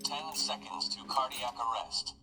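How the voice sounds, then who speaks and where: A man's voice: mid-range voice, lively intonation, measured pace, music in the background, lightly acted. A man voicing an impersonal medical machine in a video game, announcing in a clipped, procedural way. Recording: echoey, distant device voice.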